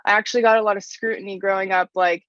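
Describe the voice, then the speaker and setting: A young woman answers interview questions in a loose, chatty way, dropping "like" between phrases. Her voice sits at a middling pitch. The recording is dry and a little muffled. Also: steady tone, fast, a bit bright, no echo. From Canada. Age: young adult. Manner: chatty, frequent "like" fillers. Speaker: interview guest, stone mason.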